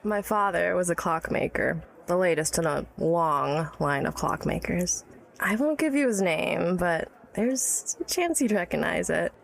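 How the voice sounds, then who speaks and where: A woman's voice: lowish voice, expressive, unhurried, vocal fry. An actress playing an educated, tipsy, wry woman of early-1900s New York in a period audio drama, teasing and guarded. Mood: wistful storytelling. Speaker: storyteller recalling her childhood.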